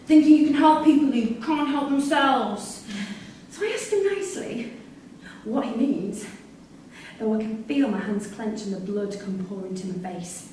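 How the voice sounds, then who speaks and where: An actress in a live staged reading playing a disillusioned, confrontational prison worker with an emphatic, pointed delivery. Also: female voice, on the high side, mellow. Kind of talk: live theatre acting. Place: theatre performance space in Salford. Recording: echoey hall, distant mic, faint noise.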